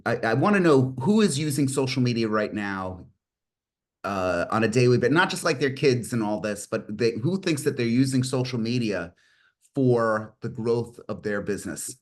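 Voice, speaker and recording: Low-voiced man hosting an online meeting. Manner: rambling, restarts, jokey with participants. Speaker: meeting host. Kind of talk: online group meeting presentation. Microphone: video call.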